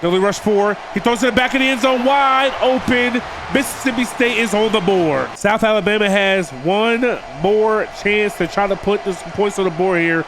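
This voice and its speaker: A man with a high voice, nasal and congested from a cold, calling the action of a football video game for his viewers. He talks to them like buddies and pushes up on the big plays. A steady hiss of noise sits under the recording. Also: normal speed, hardly any pauses. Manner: addresses viewers as "boys", hypes big plays. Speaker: gaming video creator. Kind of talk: play-by-play commentary over a football video game.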